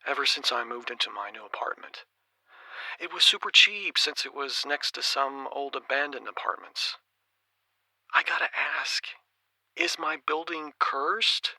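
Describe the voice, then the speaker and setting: Slow, sharp-voiced troubled caller. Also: male voice, mid-range voice, no room sound, exaggerated voice, low-quality recording. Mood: uneasy, bewildered. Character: troubled, bewildered man calling a radio show. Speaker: radio show caller. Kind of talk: radio drama acting.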